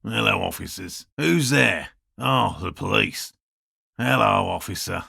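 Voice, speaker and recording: A man voicing an earnest, eccentric cryptozoologist in a video game, with a raspy voice and precise, courteous, scholarly phrasing. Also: fairly low pitch, lively intonation, measured pace, bright, forceful tone, no echo, clean studio sound, exaggerated voice. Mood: polite, measured. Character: earnest eccentric male cryptozoologist scientist, obsessive but courteous. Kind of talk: video game dialogue voice lines.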